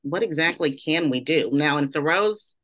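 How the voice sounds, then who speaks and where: A middle-aged woman shares a casual reflection in a class discussion. Her voice is gravelly with a cold, and she rambles conversationally, leaning on "like" and loose trailing phrases. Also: low-pitched, expressive, normal speed, fairly bright tone. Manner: rambling, with frequent "like" and trailing "and everything". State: has a cold. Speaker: class participant.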